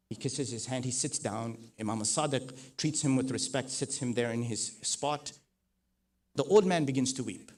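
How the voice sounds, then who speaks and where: Guy preaching a sermon, quiet bits then loud emphatic repeats, mid-pitched voice. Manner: swells from soft to loud, rising emphatic repetition. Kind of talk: mourning sermon with narrated tradition.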